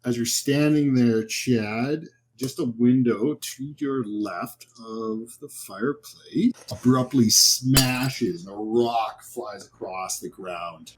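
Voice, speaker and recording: A man running a horror tabletop game, describing scenes informally and haltingly as game master. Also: mid-range voice, slightly rough, low-quality recording.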